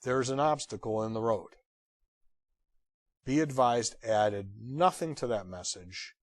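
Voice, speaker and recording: A man giving an instructional lecture as a trainer, blunt and direct with wry humor and emphatic pauses on key phrases. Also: medium pitch, no room sound, clean studio sound. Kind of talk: instructional lecture on radio procedure.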